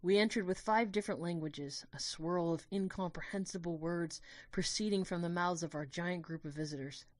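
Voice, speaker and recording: A woman's voice: on the low side, normal speed, few pauses, dry recording. A woman reading scripted first-person story narration as the narrator of an audio drama.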